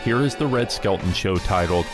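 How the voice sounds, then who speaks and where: A man's voice: deep voice, animated, moderate pace. A man presenting scripted introductions as a radio show host. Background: music.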